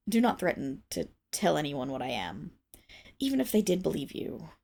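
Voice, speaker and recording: Woman voicing a husky, haughty, flustered monstergirl noble. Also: mid-range voice, mellow. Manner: haughty and formal, stammering, breaking into breathy whispers. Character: proud, flustered tsundere Fenrir monstergirl of noble birth. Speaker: ASMR roleplay performer. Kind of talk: ASMR roleplay acting, addressed to the listener.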